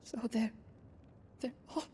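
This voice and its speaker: An actress playing a timid, shaken young peasant woman in a video game, halting and deferential. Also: warm tone, slight background noise, natural voice. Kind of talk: dramatic video game acting.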